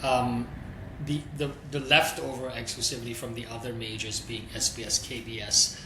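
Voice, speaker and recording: A man's voice: mid-range voice, moderate pace. Thoughtful man in a roomy, noisy recording. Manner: thoughtful, candid, thinks aloud. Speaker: streaming executive guest. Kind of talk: conversational interview answers. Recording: roomy echo with steady background noise.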